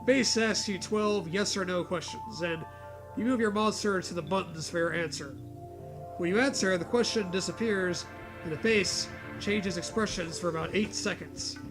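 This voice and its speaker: High-voiced man reading aloud with amusement. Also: moderate pace, music in the background. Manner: amused, breaks into laughter. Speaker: co-host reading a fan story aloud. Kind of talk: comedic read-aloud with casual banter.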